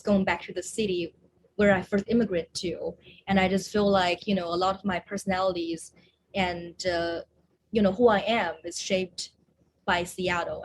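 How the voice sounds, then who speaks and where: A woman's voice: medium pitch, slight background noise, poor audio. A woman from China, a screenwriter and actress, talking in an upbeat, casual way with frequent "you know". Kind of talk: casual video interview.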